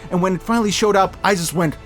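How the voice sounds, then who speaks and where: A man in his thirties giving an opinionated scripted review commentary, sarcastic, animated and emphatic in his delivery. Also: high-pitched, fast, faint music behind. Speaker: video host reviewing films.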